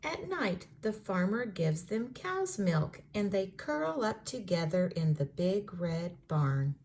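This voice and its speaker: A woman reading a children's storybook aloud in an expressive, carefully enunciated storytelling way for young listeners. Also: mid-range voice, slow, a little hiss or hum.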